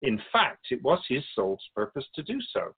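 Man as measured, solemn keeper of souls. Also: medium pitch, big pitch swings, breathy edge, phone-quality sound. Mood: solemn, explanatory. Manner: measured, didactic, formal. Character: calm otherworldly male soul keeper, earnest storytelling spirit. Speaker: interviewee. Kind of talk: radio drama dialogue.

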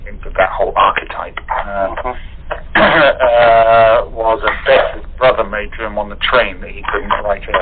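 A man chatting casually as an actor guest, with a wry, wordy, halting way of talking. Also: fairly low pitch, steady tone, very noisy. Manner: wry, wordy, hesitant delivery. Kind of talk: casual chat. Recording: muffled, narrow phone-like line.

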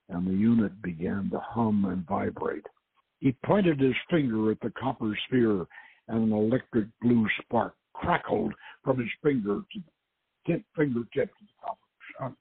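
A very husky man hosts and narrates an adventure story. He now and then trips over a word and repeats it. The sound is narrow and phone-like, with a dry, close feel. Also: medium pitch, lively intonation, moderate pace, no echo. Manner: stumbles and repeats words while reading. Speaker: host and author reading his novel. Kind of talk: dramatic reading of an adventure novel. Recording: muffled phone-like lo-fi audio.

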